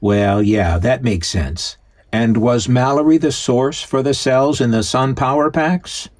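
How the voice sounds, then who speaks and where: A man's voice: mid-range voice, a little slow, a little mellow. A man hosting and co-narrating in a scripted but conversational documentary style. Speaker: host and co-narrator.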